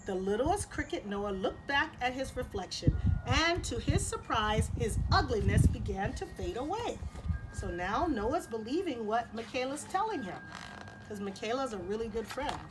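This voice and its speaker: A woman storyteller reading expressively to children, pausing to explain and repeat things in simple words. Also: a little high, very animated, noisy background. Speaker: storyteller and host. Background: music.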